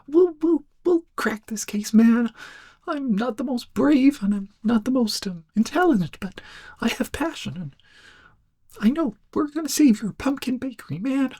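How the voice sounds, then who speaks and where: Casting: male performer as goofy, timid young stoner detective for ASMR roleplay; high-set cracking drawl, mellow and close, dry sound. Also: breathy edge, no room sound, exaggerated voice. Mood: chatty, friendly, then earnest, hesitant. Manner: rambling, hesitant stoner drawl, tagging sentences with "man". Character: laid-back, cowardly, goofy young male amateur sleuth. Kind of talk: soft-spoken ASMR roleplay.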